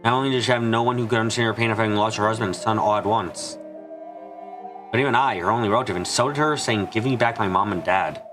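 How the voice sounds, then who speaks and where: A man giving an earnest amateur dramatic reading of a visual novel, halting for dramatic pauses. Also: lowish voice. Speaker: amateur fan dubber. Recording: music behind the voice. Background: background music.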